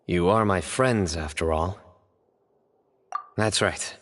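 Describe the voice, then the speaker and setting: Deep, deadpan, composed young investigator. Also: male voice, a little hiss or hum. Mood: businesslike. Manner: measured, deadpan, clipped. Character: composed, dry-humoured young male investigator, serious and loyal. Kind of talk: video game character acting.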